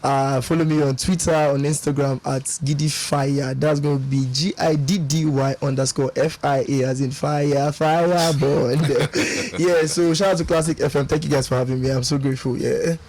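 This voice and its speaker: A man's voice: fairly high pitch, steady tone, moderate pace, hardly any pauses, darkish tone. A young man, a confident guest on a radio interview who laughs often and talks himself up. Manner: boastful, upbeat, laughs often. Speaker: reality show ex-contestant guest. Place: radio studio.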